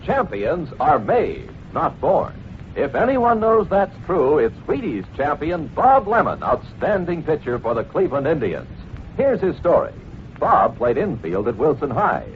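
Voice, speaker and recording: A man as a commercial announcer, delivering a rhythmic mid-century radio advertising pitch. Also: wildly swooping pitch, measured pace, a little hiss or hum. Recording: tinny old radio recording.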